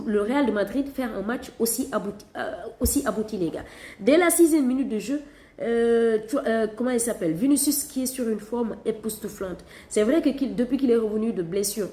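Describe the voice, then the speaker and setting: Animated woman reviewing football, roomy echo. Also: a little high. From Catalonia. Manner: animated, emphatic, repeats phrases for stress. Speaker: football channel host. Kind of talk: casual football match review.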